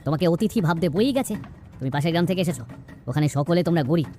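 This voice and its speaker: Man playing a hostile, very fast-talking villager. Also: a little high, animated, darkish tone, faint music behind, natural voice. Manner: curt, scornful, repetitive orders. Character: hostile, haughty villager guarding his prosperous village. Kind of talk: cartoon voice acting.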